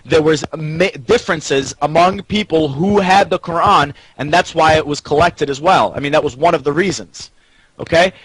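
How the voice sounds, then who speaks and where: A man's voice: on the high side, normal speed, fairly bright tone, husky, poor audio. A man debating as a panellist in unscripted talk, argumentative, often stammering and repeating himself as he presses his point.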